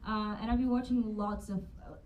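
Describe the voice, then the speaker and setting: A woman's voice: mid-range voice, steady tone, a little fast, phone-quality sound. An actress tells her story animatedly and thoughtfully. She is picked up from a distance, with faint noise underneath. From Bulgaria. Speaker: actor on a panel. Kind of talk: panel Q&A answers.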